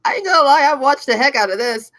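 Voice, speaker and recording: Playful female reviewer, expressive and giggly, casual, dry close sound. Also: high-pitched, fast talker, no echo. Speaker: script reader giving feedback. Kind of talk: casual chat.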